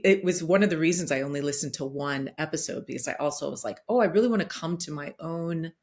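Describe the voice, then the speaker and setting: A woman speaking as a podcast guest, reflective and searching, with frequent fillers and restarts. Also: low voice. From Guatemalan and Danish roots, partly raised in the United States. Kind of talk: reflective conversational talk.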